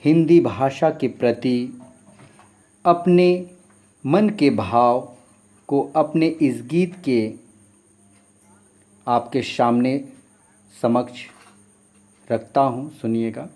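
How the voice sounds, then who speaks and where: A man's voice: mid-range voice, drawn-out words, pauses often, mellow. A man, a folk singer and poet from Chhattisgarh, giving an earnest, formal and reverent spoken introduction before a song. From Chhattisgarh, India.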